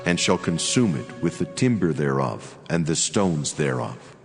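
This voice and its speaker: An actor playing a solemn, commanding angel in a grave, declamatory dramatized scripture reading. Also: male voice, very deep voice, animated, unhurried, exaggerated voice. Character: solemn, commanding male angel speaking as God's messenger. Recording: long hall reverb, clear music behind.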